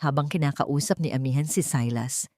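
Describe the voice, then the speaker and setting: Filipina woman narrating a dramatic love story, low soft-toned mellow voice. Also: brisk, no room sound, clean studio sound.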